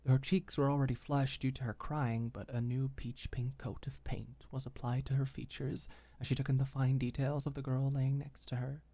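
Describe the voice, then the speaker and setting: Dark, breathy woman narrating intimate fiction. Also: a bass voice, level tone, normal speed, few pauses, no room sound. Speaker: audiobook narrator. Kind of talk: scripted ASMR fiction narration.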